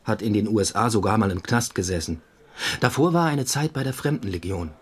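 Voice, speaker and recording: A man's voice: fairly low pitch, lively intonation, brisk, slightly rough. A man playing a courteous, methodical police detective assistant in a radio crime drama, speaking precisely and matter-of-factly. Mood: factual. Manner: precise, matter-of-fact, slightly formal wording. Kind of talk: German radio crime drama dialogue and narration.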